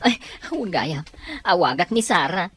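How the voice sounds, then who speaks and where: A woman's voice: lowish voice, expressive, normal speed, lightly acted. A woman as an anxious worried relative. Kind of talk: Ilocano audio drama acting.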